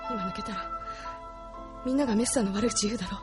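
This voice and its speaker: A woman's voice: medium pitch, quick pace, natural voice. A woman acting a tough, loyal young female pilot in a radio drama, plain-spoken and tomboyish, with music behind her. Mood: quietly pleading.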